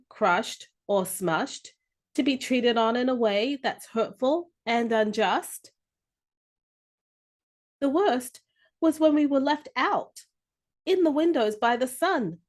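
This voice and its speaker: A woman reading a children's story aloud with expressive, deliberate phrasing. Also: a little high.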